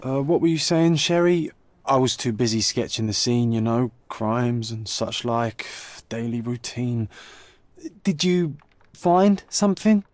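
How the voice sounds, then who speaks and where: A man's voice: mid-range voice, expressive, measured pace, a little mellow, no room sound, lightly acted. An actor playing a detective's young male companion in a video game, casual and bossy, flippant yet protective. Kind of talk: video game cutscene acting.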